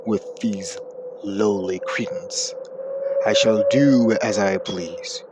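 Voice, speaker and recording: A man voicing a stern, ancient king in an audio roleplay drama, speaking with slow, commanding authority. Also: lowish voice, steady tone, highs cut off, very noisy. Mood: imperious. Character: stern immortal king, aged, imperious.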